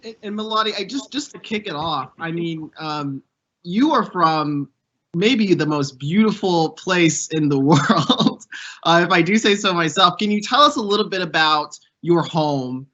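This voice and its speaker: Rich: A young man with a bright, fairly high voice speaks with earnest purpose and lifts his pitch on each item of a list. The sound is dull and lo-fi, typical of audio from a video call. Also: expressive, unhurried. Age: young adult. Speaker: youth program fellow hosting a panel. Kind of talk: prepared speech and interview.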